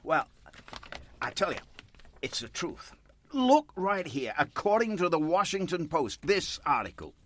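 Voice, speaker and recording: An actor playing a folksy, chatty older American churchgoer in a radio play, effusive and rambling. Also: male voice, a little high, big pitch swings, measured pace, a bit bright, heavily acted. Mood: awestruck, flattering. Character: folksy, gushing, chatty old American carpet salesman churchgoer.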